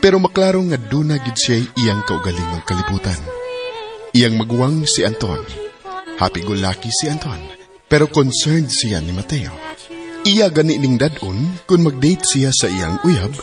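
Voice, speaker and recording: A man's voice: medium pitch, very animated, moderate pace. A man narrating a radio drama from a script. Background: clear music.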